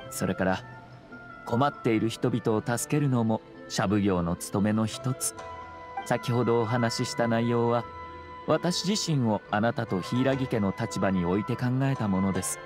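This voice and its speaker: Guy acting as polite calm young lord from an anime game, measured formal talk, music behind him. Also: mid-range voice, natural voice. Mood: earnest, reassuring. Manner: composed, courteous, measured. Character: composed, courteous young nobleman and commissioner. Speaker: government commissioner.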